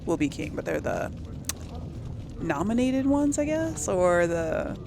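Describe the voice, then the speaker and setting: A woman's voice: lowish voice, sing-song, slow pace, creaky. A woman hosting a casual video game let's play, rambling and musing to herself as she goes. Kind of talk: casual game commentary, thinking aloud. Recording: faint background noise.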